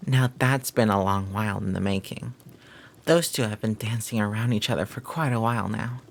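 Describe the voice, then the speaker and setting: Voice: mid-range voice, lively intonation, moderate pace, creaky. A performer playing a flirty, devoted maid who teases and gossips in formal, flowery phrasing, in a scripted romantic audio roleplay. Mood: gossipy, amused.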